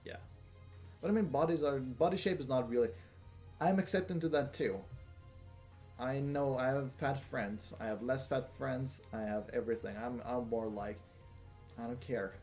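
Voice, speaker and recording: A young man hosting a casual Q&A video, talking in a flat, monotone way with lots of fillers and restarts. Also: mid-range voice, moderate pace, soft background music. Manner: monotone, rambling with many fillers. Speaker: gaming channel host. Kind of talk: casual Q&A monologue.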